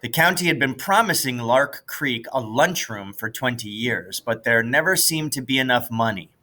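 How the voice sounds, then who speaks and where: A man's voice: mid-range voice, expressive, moderate pace, bright, forceful tone. An American man reading a children's novel aloud as scripted narration. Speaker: audiobook reader, teacher.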